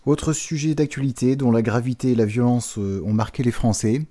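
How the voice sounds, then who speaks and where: A man's voice: mid-range voice, steady tone, moderate pace, mellow. A man putting questions as an interviewer.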